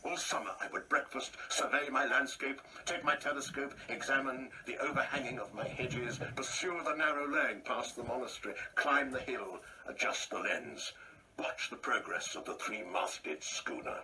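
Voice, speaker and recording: A man's voice: mid-range voice, moderate pace, sharp tone, far from the mic, slight background noise, low-quality recording. An actor playing a proud, insecure older man in a radio play, speaking in a pompous, precise, theatrical way. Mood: proud reminiscence. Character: proud, insecure ageing man, bitterly reminiscing and taunting.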